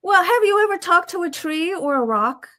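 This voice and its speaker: A woman's voice: very high-pitched, lively intonation, moderate pace, bright, forceful tone. A woman hosting a podcast, talking and asking questions in a casual, conversational style. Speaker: podcast host.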